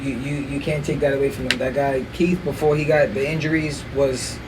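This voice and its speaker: A man's voice: medium pitch, level tone, fairly quick, noisy background. Enthusiastic man on a distant mic. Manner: enthusiastic, hypes people up. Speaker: podcast co-host. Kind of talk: casual boxing podcast talk.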